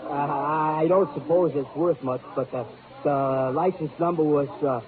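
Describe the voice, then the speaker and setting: An actor playing a flustered, eager stage doorman in a 1950s radio drama, excitable and stammering. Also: on the high side, a little slow, a crowd in the background, lightly acted. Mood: eager recounting. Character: flustered, eager, talkative male theater doorman witness. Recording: tinny, narrow old radio recording.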